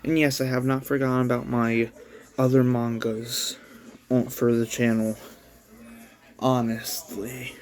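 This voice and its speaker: A man's voice: mid-range voice, level tone, measured pace, pauses often. A man casually reading his own story aloud as a channel host, in an offhand storytelling manner. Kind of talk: casual read-along narration.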